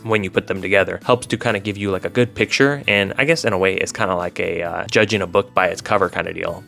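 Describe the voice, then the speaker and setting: A man's voice: low-pitched, vocal fry, bright, forceful tone. A man chatting casually as a video host, rambling along in an informal, filler-heavy way. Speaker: YouTube host discussing visual novels.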